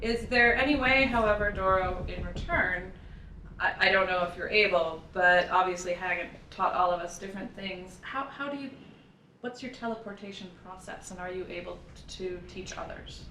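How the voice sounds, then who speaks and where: Woman, medium pitch, casual tabletop roleplay talk, roomy sound, noticeable noise. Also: moderate pace, pushed and bright, recorded from a distance. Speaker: tabletop game player. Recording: echoey room, clear background noise.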